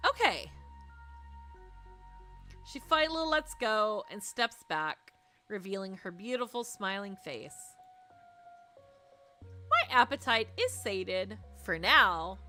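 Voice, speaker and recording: A woman's voice: medium pitch, big pitch swings, slow pace, pauses often, bright, forceful tone. A woman streamer reading a visual novel aloud expressively, with playful, teasing asides. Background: music.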